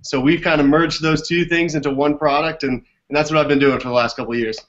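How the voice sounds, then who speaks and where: A man with a fairly high voice gives conversational, explanatory answers as an interview guest. He is heard through a video call, with a dulled, low-fidelity sound. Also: fairly even intonation, quick pace. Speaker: interview guest, business development director. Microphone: video call microphone. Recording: dull lo-fi video call audio.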